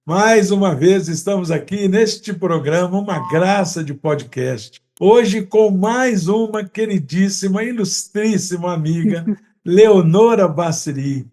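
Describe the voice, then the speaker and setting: Male podcast host, Brazilian accent, high-pitched voice, courteous and effusive, chatty interviewing. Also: expressive, takes it slowly, darkish tone.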